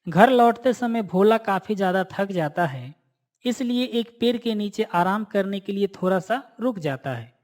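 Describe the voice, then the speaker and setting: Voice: medium pitch, animated, unhurried, a little mellow. A narrator reading a scripted moral story for a cartoon in a storytelling style.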